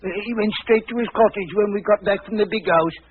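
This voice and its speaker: Male actor as circus performer, high voice, plain-spoken, vintage radio drama, faint hiss. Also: fast, natural voice. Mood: uneasy. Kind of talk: 1940s radio mystery acting. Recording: tinny, hissy old radio recording.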